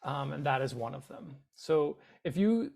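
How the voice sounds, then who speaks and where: A man's voice: on the high side, lively intonation, a little slow, creaky, a little mellow. A man from the Boston area, a guest actor in a casual chat, hesitant and self-deprecating with a dry sense of humor. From Boston area, USA.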